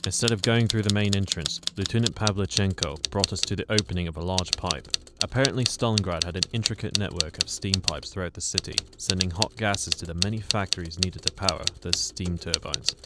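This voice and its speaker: An earnest, courteous soldier played by a man in a wartime radio play. His voice is low, and the amateur recording is very noisy. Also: fairly quick, few pauses, lightly acted. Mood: sober narration. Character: earnest, polite male soldier recounting his wartime story. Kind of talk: radio drama dialogue and scripted narration.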